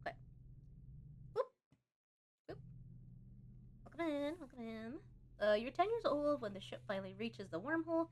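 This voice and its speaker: High-voiced woman game streamer, noisy background. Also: very animated, moderate pace, pauses often. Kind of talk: live let's-play commentary, reading game text aloud.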